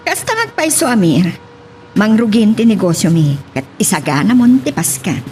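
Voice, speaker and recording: A woman's voice: mid-range voice, sing-song, moderate pace, darkish tone, faint music behind, natural voice. A woman playing a scheming, calculating businesswoman in an Ilocano radio drama. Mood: friendly.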